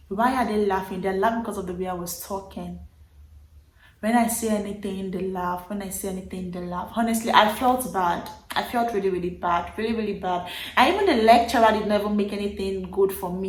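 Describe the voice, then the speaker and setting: A young woman with a Nigerian accent tells a personal story in a lively, emphatic way, leaning on repeated words. Her voice sits in an ordinary middle range. The recording has a noticeably roomy echo. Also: mid-range voice, moderate pace. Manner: animated, emphatic retelling with repeated phrases. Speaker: vlogger. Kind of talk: casual storytelling to camera.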